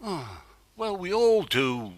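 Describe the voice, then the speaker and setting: A man's voice: high voice, big pitch swings, takes it slowly, no room sound, exaggerated voice. A man playing a good-natured, bumbling English wartime neighbour in an amateur radio play. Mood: awkward.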